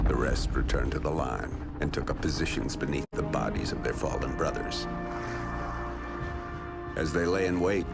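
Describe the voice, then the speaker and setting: An actor playing a middle-aged soldier father in a video game, grave and measured, with a gravelly voice. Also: rumbling low, full character voice. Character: gruff, solemn soldier father telling a war legend. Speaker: narrator and father character. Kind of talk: cinematic dramatic storytelling. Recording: loud cinematic music behind.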